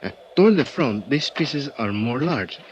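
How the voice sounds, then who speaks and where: An actor playing an earnest Mexican official who speaks halting, Spanish-accented English full of "uh" fillers, in a 1950s radio drama. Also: male voice, mid-range voice, lively intonation, natural voice. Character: earnest Mexican male official at a crash site. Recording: dull old radio transcription with faint hiss.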